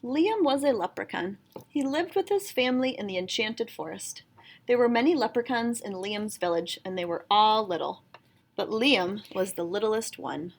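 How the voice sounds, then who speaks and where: A woman reading a children's picture book aloud in an expressive storytelling style. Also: medium pitch, normal speed, gravelly, a little hiss or hum.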